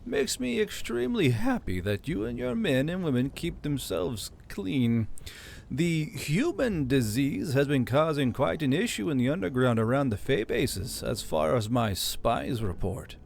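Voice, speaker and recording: A man voicing a kindly, chatty older male elf governor with a cheerful, gently teasing manner. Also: on the high side, very animated, measured pace, gravelly, slight background noise, exaggerated voice. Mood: grim.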